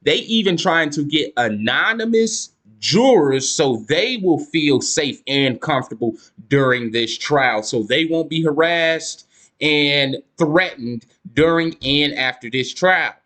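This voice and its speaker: A man's voice: a little high, lively intonation, slow pace, sharp tone. A young man giving casual commentary, reading court filings aloud to viewers and calling them y'all. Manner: slangy, addresses viewers as y'all, reads documents aloud with stumbles. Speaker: YouTube case-file commentator.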